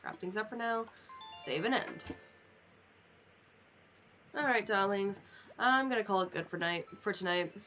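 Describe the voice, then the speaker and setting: A woman's voice: medium pitch, measured pace, long pauses, sharp tone, faint music behind. A woman livestreamer chatting casually as she plays a video game, thinking aloud and muttering to herself. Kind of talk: casual chat while playing a video game. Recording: dull lo-fi mic, clear background noise.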